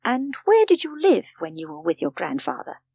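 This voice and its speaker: A woman voicing a kindly, good-humoured older male doctor in a storybook, with a gentle, measured manner. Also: fairly high pitch, huge pitch swings, creaky, husky, no room sound, pitched above the performer's own voice, full character voice. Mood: kindly, soothing. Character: kindly, jovial grey-haired male doctor, calm and reassuring.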